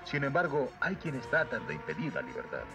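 Lively, resolute Latin American hero. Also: male voice, mid-range voice, fairly quick. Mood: earnest. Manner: declamatory, resolute. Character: heroic young prince, brave, earnest, confident. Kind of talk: Latin American Spanish cartoon dub. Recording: loud music behind the voice.

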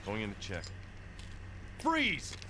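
An actor playing a tense police officer in an audio drama, giving clipped, urgent commands and reports. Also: male voice, fairly low pitch, wildly swooping pitch, takes it slowly, crowd noise, full character voice. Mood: tense, alert.

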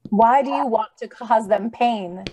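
A woman's voice: on the high side, unhurried, mellow, slight background noise, close to an everyday voice. A woman acting an earnest, idealistic young lady crusader in an old-time radio drama. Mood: troubled, questioning.

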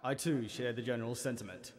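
A man's voice: mid-range voice, fast talker, lightly acted. A man voicing a stern, grave android general in a game cutscene, with weighty, commanding oratory. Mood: grave, resolute. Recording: reverberant hall.

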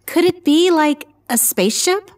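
A woman's voice: extremely high pitch, animated, unhurried, a little mellow. A woman playing an easygoing, curious space explorer in an audio drama.

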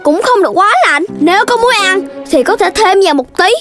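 Know-it-all cartoon puppy kid, very high pitched, Southern Vietnamese, earnest lecturer and chider. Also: animated, fairly quick, over music. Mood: earnest, instructive. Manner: instructive, chiding. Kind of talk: Vietnamese children's 3D cartoon dubbing.

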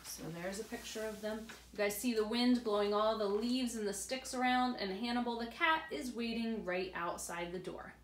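A woman in her thirties reads a storybook aloud to children as a teacher, pausing to explain things in simple words. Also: fairly high pitch. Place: indoor room beside a large glass door. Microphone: camera a few feet away. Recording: roomy, distant camera mic with faint noise.

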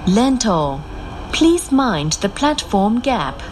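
Woman, train announcer, scripted, formal, unhurried delivery, animated pitch movement, loud vehicle noise. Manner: measured, clearly enunciated, formal. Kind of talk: scripted recorded transit announcements. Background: loud moving train ambience.

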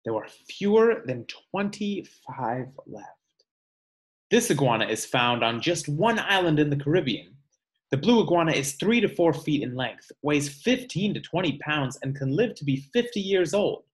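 A man in his thirties, a teacher reading a picture book aloud to children in measured, clear phrases at home. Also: fairly high pitch, big pitch swings. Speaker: teacher reading aloud to third-grade class. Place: room at home.

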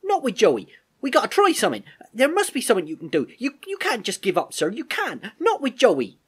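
A man's voice: extremely high pitch, wildly swooping pitch, brisk, slightly rough, voice pushed up, heavily acted. A man voicing a frightened young soldier in a storybook, pleading and stammering deferentially with a tremor in his voice. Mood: protesting, desperate.

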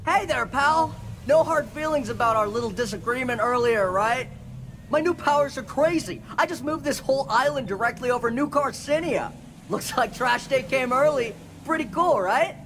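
A man's voice: extremely high pitch, lively intonation, moderate pace, fairly bright tone, a little hiss or hum, heavily acted, low-quality recording. A man voicing a smug, manic sea-creature businessman with a god complex in a game cutscene, in glib, boastful salesman patter. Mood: gloating, chummy. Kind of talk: animated video game cutscene acting.

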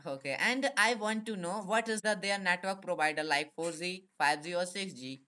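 A young man with an Indian accent chats casually on a phone video call, asking questions haltingly in simple, repetitive English. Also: sits high, bright, forceful tone. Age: young adult. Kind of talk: casual chat with strangers.

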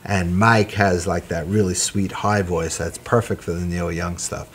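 A middle-aged man with a gruff voice, a musician guest chatting easily on a small studio set, with plenty of ums and uhs. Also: deep voice, steady tone, a little hiss or hum. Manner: easygoing, halting with fillers. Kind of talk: casual chat.

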